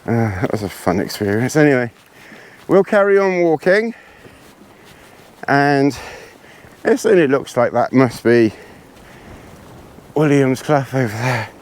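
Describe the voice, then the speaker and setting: A man with a hoarse, congested voice talks unhurriedly to his camera as he walks across open hills. His speech is rambling and self-deprecating, full of restarts, and his pitch sits at an ordinary middle level. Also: measured pace, pauses often, darkish tone. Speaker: vlogger. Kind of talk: casual chat while hiking. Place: outdoors on windy hills.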